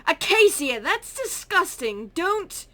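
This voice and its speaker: A woman's voice: extremely high pitch, sing-song, takes it slowly, a bit bright, pitched above the performer's own voice, full character voice. A woman voicing an exasperated, scolding mother in a fairy tale story. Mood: disgusted, alarmed. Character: scolding, exasperated mother of two troll girls.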